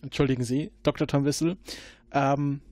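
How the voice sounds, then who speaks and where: A man's voice: medium pitch, steady tone, normal speed, a little mellow, no echo. A man playing an investigator in a tabletop game, asking formal, polite questions and stumbling over his words. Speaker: player.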